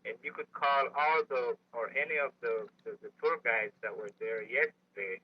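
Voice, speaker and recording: A man calling a radio show by phone, speaking in an earnest, halting way. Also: medium pitch. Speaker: phone-in caller, tour guide and dive master. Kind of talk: unscripted complaint to a radio show. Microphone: telephone. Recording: dull lo-fi phone line on radio.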